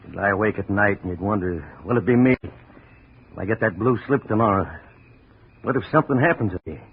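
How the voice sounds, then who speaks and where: An actor playing a bitter, world-weary steelworker in a 1950s radio drama. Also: male voice, on the low side, fairly quick, slight background noise, natural voice. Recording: tinny old radio broadcast.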